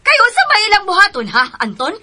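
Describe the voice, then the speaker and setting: A stern, shrewd mother in a radio drama, with animated, forceful delivery. She scolds and commands her grown sons. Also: very high-pitched, quick pace, exaggerated voice. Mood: scolding.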